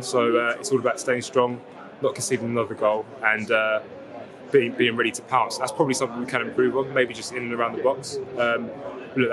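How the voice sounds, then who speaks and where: A young footballer, the team's vice captain, giving relaxed post-match answers outdoors at a football ground on a lapel mic. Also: male voice, medium pitch. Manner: relaxed, fluent, filler-heavy answers.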